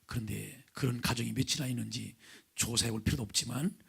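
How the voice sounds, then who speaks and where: A middle-aged male pastor preaching with a hoarse, raspy voice from vocal nodules, often sinking to a breathy near-whisper and asking the congregation questions. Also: mid-range voice, fairly even intonation, fairly quick, fairly bright tone. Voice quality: raspy, gravelly. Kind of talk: sermon with opening prayer. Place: church sanctuary, at the pulpit.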